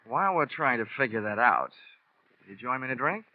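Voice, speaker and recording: Male actor as cocky insurance investigator, American accent, swinging wry pitch, sardonic, old radio drama, muffled vintage recording. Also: animated, fairly quick, dry recording, lightly acted. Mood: smooth, probing. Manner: wry, clipped, sardonic delivery. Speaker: lead actor and narrator. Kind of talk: 1950s radio detective drama, hard-boiled narration. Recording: dull, band-limited old radio recording.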